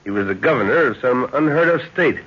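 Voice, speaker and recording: An actor playing a shrewd, genial explorer and father in a 1930s radio drama, speaking with measured authority. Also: mid-range voice, animated, slightly rough. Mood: genial, explanatory. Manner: measured, hesitant pauses, authoritative. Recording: muffled old 1930s radio recording.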